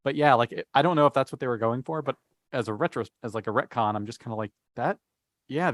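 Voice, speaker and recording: A man's voice: fairly low pitch, dry recording. A man on a podcast panel, casually explaining fan theories in a rambling, enthusiastic way.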